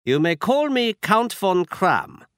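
A tall foreign nobleman with a German accent, put on by a man reading aloud, formal and guarded in every phrase. The voice is pitched high and swings widely. The recording is dry and close. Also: very animated, takes it slowly, fairly bright tone, dry recording, full character voice. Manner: formal, stiff, secretive. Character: foreign nobleman, large, masked, anxious.

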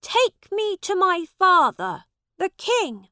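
A woman reading a storybook voices a proud young boy prince who shouts and pleads in a high, strained voice. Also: very animated, drawn-out words, no room sound, studio quality, full character voice. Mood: pleading. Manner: shouting, high-pitched, tearful at times.

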